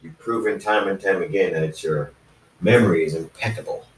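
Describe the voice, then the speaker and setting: A man reading the part of a calm, gently teasing winged pony teacher in a fan fiction story, halting and formal. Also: low-pitched, voice pushed down, lightly acted. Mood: reassuring. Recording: roomy echo, distant from the mic.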